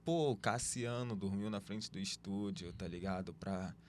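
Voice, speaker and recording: A man's voice: sits low, normal speed, crowd noise. A man co-hosting a podcast, reacting with short slangy remarks in casual conversation. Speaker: podcast co-host. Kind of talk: casual interview talk. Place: podcast studio.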